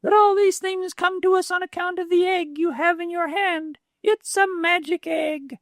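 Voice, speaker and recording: A man voicing a bold, boastful little male weasel in a storybook reading, proud and brisk. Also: very high-pitched, steady tone, a bit bright, no echo, studio quality, pitched above the performer's own voice. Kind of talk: storybook read-aloud.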